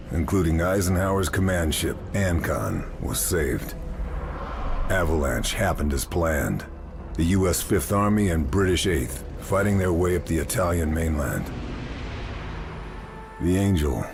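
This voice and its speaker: A man playing a gruff, stoic wartime sniper in a video game, terse, measured and dry. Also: a bass voice, lively intonation, exaggerated voice. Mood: sombre narrating. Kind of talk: video game cutscene acting and narration. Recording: loud cinematic music behind.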